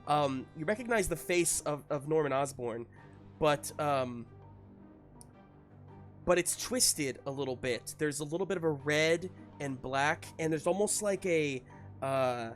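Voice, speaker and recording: A man improvising narration as game master of a tabletop game, piecing scenes together haltingly. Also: a little high, faint music behind. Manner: halting, searching for words in pieces.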